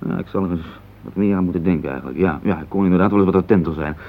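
An actor playing a busy, dismissive and placating businessman husband in a radio play, with a brisk, patronising manner. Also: low voice, vocal fry. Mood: affable, businesslike. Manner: brisk, patronising, full of tag questions. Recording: dull lo-fi radio play recording.

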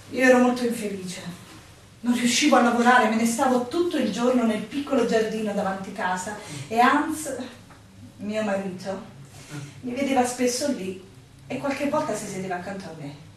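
Proud landlady, high-voiced and echoey, acted by a woman. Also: lightly acted. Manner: measured, dismissive, admonishing. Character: proud, world-weary married woman who runs an inn. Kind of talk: Italian radio drama acting. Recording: echoey room, distant mic, faint noise.

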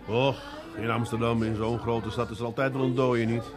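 Low-voiced shrewd Dutch detective, played by a man. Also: flat delivery, fairly quick, over loud music, a loud crowd, natural voice. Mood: casual, probing. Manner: feigned innocence, needling questions. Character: shrewd, teasing police detective quietly fishing for tips. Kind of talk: television crime drama acting.

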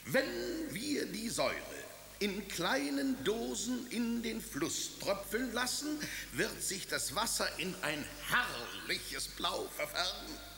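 A bowing, scraping court servant in a radio play; gravelly, lively voice full of ornate politeness. The sound is roomy, with faint ambience behind him. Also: male voice, high-pitched, takes it slowly, a little hiss or hum, full character voice. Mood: obsequious. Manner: obsequious, flowery, overly formal. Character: fawning male court servant, ornate and servile. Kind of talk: radio drama acting.

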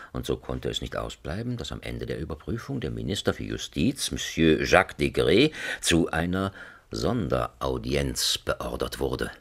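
A man narrating a satirical radio play as a wry storyteller with measured irony. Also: a bass voice, gravelly, fairly bright tone, slightly rough, exaggerated voice.

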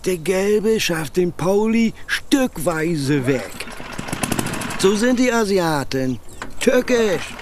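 A man's voice: sits high, expressive, extremely slow, a little hiss or hum, heavily acted. An actor playing a grumbling, suspicious old male pensioner in a German radio play. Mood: conspiratorial, suspicious. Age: 60s or older. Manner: grumbling, emphatic, alarmist.

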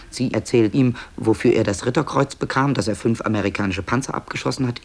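A man narrating a 1960s radio play as a dryly ironic, confiding author who hesitates and stammers. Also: medium pitch. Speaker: narrator presenting tape recordings.

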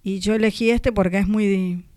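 A woman's voice: medium pitch, steady tone, moderate pace, dark tone, no echo, studio quality. A woman co-hosting a radio show, casually chatting to introduce music.

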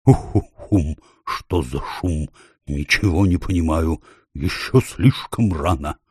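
A man's voice: sits low, sing-song, measured pace, mellow, slightly rough, no echo, clean studio sound, heavily acted. A man voicing a fussy, earnest female talking owl in a storybook, given to hooting. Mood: muttering, respectful.

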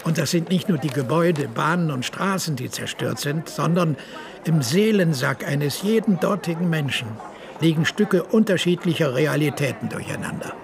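Give this line to a thoughtful café-going architect in a radio play. His voice is fairly high for a man and gravelly. A busy crowd sounds behind him. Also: lively intonation, moderate pace. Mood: reflective. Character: male café patron in Zurich, an architect musing on war news.

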